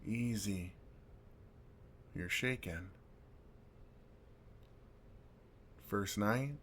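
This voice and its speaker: A man playing a kind, wry older vampire in an intimate ASMR roleplay, talking in a gentle, casual, dryly sarcastic way. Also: sits low, creaky, a little hiss or hum, full character voice. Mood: soothing, concerned.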